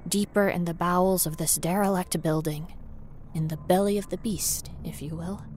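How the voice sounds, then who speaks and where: A woman's voice: lowish voice, darkish tone, close to an everyday voice. A woman playing a nervous, wry paranormal investigator in an acted first-person horror audio drama, talking in a conversational, self-aware way. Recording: noisy ambient sound bed behind voice.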